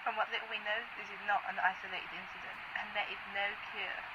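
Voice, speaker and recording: A woman's voice: mid-range voice, little pitch movement, quick pace. A woman playing a solemn military announcer giving a formal emergency broadcast through a radio filter in an audio drama. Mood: grave, official. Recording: muffled radio broadcast, loud static noise.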